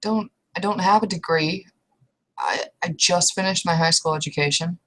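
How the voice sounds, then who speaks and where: Female player as weary young werewolf woman: low register, hesitant delivery, blunt and conflicted, improvised tabletop-style roleplay. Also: a bit bright, rough recording. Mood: resigned, unsure. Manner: hesitant, halting, coarse-mouthed. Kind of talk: improvised in-character roleplay monologue.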